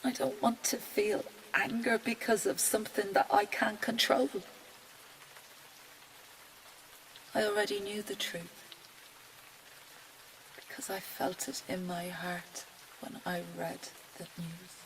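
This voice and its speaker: An actress playing a grieving mother in an audio drama monologue, wistful, quietly stoic and often hushed. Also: medium pitch, flat delivery, a little hiss or hum, lightly acted, rough recording. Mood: resigned sadness. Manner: slow, reflective, often hushed to breathy near-whisper. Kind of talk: intimate audio-play monologue.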